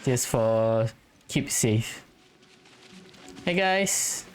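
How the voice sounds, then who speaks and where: A man's voice: mid-range voice, animated, drawn-out words, slight background noise. A man giving casual gameplay commentary, musing aloud in a chatty way to his viewers. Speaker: gaming streamer.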